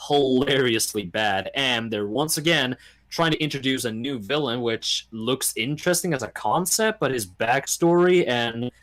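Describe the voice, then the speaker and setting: A man chatting casually and giving animated, rambling, opinionated takes with mimicked quotes. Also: medium pitch, normal speed. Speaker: podcast guest or panelist. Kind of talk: casual opinionated chat about video games.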